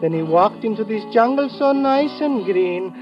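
An actor playing a solemn, superstitious island guide in an old radio drama, speaking stilted broken English in a sing-song way. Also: male voice, sits high, lively intonation, normal speed. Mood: eerie chanting. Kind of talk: 1950s American radio adventure acting. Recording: muffled old radio, loud music.

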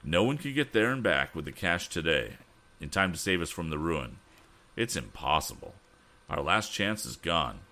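A man voicing a grave, weary father and banker in a storybook, measured and resigned. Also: low-pitched, expressive, fairly bright tone. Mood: hopeless. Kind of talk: audiobook character voice.

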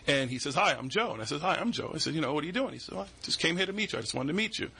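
Gravelly, husky man in his forties. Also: fairly high pitch, animated, racing, bright, forceful tone. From Boston. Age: 40s. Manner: rambling, restarts often, acts out quoted voices. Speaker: guest, security business owner. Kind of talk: casual storytelling interview. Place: podcast studio.

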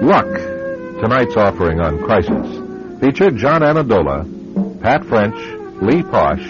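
An actor as the enigmatic announcer of a suspense radio series, reading scripted dramatic narration. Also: male voice, lowish voice, sing-song, slow. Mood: measured, mysterious. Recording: dull radio recording under loud music.

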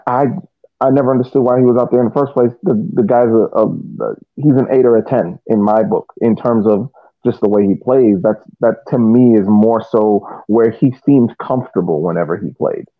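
A man co-hosting a podcast, giving casual sports analysis in halting, reflective short phrases over a narrow, thin connection. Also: mid-range voice, dry recording. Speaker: podcast co-host.

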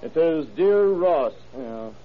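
Higher-voiced, wry radio detective. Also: male voice, lively intonation, slow. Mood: deliberate, reading aloud. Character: wry, needling, eager male police detective. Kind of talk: old-time radio crime drama acting. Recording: tinny, noisy vintage radio recording.

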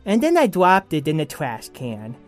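A woman's voice: low voice, huge pitch swings, moderate pace, faint music behind. Woman voicing a sheepish, ailing zombie dog. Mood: sheepish, evasive. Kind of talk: kids' audio drama character voice.

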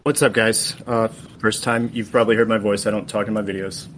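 Brief: man, podcast guest, rapid low delivery, audible room noise behind. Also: steady tone. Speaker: podcast guest, axe craftsman. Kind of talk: casual podcast chat.

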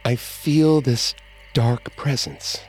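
A man voicing a grieving, frightened widow who believes she is cursed, speaking in an even, dignified way. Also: mid-range voice, drawn-out words, faint music behind. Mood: fearful.

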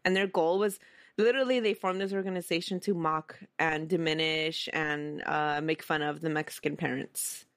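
Podcast host, woman, low register, conversational, reads quotes aloud, dry sound. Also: steady tone, normal speed, fairly bright tone, no echo. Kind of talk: casual history podcast storytelling.

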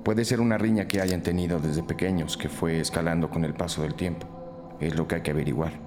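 An actor playing a sober, methodical police detective who reports and reflects in a scripted crime podcast drama. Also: male voice, sits low, steady tone, brisk, noisy background, close to an everyday voice. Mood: sombre. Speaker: detective reporting case facts.

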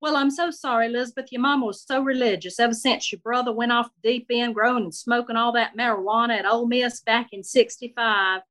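A woman's voice: fairly high pitch, steady tone, fairly quick, few pauses, a bit bright, voice pushed up, close to an everyday voice. A woman voicing an outrageous, nosy Southern lady in her seventies, effusive and full of endearments, in a personal story. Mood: confiding. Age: 70s. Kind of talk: live-style personal storytelling.

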